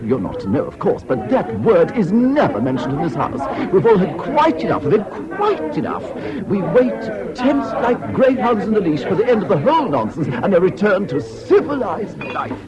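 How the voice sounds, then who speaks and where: A man's voice: high voice, very animated, normal speed, a loud crowd. Camp, swooping upper-class Englishman. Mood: disdainful. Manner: theatrical, drawling, arch emphasis with endearments. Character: camp, gushing, affected upper-class English society man. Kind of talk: 1970s British radio drama acting. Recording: dull old radio recording, busy background noise.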